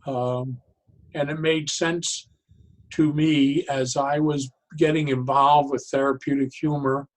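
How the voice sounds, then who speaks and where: An older man with a somewhat high voice speaks as a guest in a reflective interview. He is deliberate and halting, often doubling back to restart a phrase as he explains his thinking. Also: level tone. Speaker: interview guest, psychologist and humor educator.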